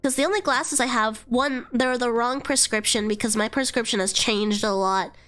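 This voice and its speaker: A young woman with a high, nasal voice, stuffed up from a cold, chatting loosely on a live stream. She meanders and curses casually, leaning on "like" between thoughts. Soft music plays faintly underneath. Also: normal speed. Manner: chatty, swears freely, trails into fillers. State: congested from a cold. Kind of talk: casual rambling stream chat.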